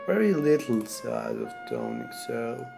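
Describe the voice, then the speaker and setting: A man from Serbia with a Serbian accent, sick, talking through a software tutorial in halting non-native English while he clicks. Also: mid-range voice, huge pitch swings, gravelly, over music. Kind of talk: screen-recorded software tutorial.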